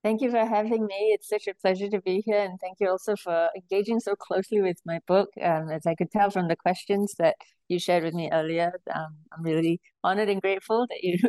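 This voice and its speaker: Thoughtful woman from Singapore, low dry voice. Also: a little mellow, dry recording, low-quality recording. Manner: thoughtful, many ums and sort-ofs. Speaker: author, professor. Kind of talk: interview answers.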